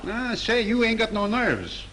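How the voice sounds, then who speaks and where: A man's voice: high-pitched, very animated, a little slow, fairly bright tone, full character voice. An actor playing a nervous, superstitious male studio worker who talks in folksy slang, in 1930s film style. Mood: jittery. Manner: uneasy, folksy slangy speech. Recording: noisy early sound film track.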